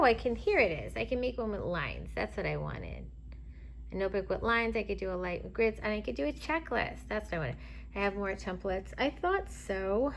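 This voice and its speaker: A woman vlogger with a raspy voice, chatting casually and thinking aloud while she demonstrates a gadget. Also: medium pitch, a little hiss or hum. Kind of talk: casual product demo.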